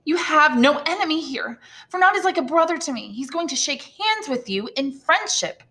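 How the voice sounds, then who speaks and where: A woman's voice: sits high, expressive, normal speed, bright, forceful tone, voice pushed up, heavily acted. A woman voicing a devoted, firm young woman in love in a storybook reading, speaking earnestly and emphatically. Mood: earnest, indignant.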